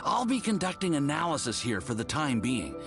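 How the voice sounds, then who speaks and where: A man's voice: a little high, big pitch swings, fairly quick, vocal fry. A man voicing an eager, polite young research assistant in a video game, earnest and bookish with a deferential manner. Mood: apologetic, enthusiastic. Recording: music behind the voice.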